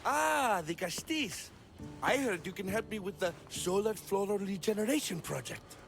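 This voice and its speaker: An actor playing an eager, fussy botanist in a video game, wordy and pedantic, easily flustered. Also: male voice, sits high, animated, moderate pace, vocal fry, a bit bright, faint music behind, exaggerated voice. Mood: eager, apologetic. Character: eager, fussy male botanist of a mythical city, absorbed in theory. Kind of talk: video game cutscene acting.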